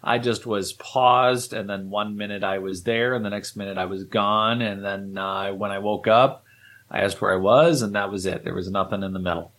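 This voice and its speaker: A man with a slightly low voice, talking in an easygoing, joking way. He co-hosts a podcast and keeps the chat moving with quick asides. His pitch jumps up when he gets animated. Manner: animated, jokey, chatty. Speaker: podcast co-host. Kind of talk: casual chat.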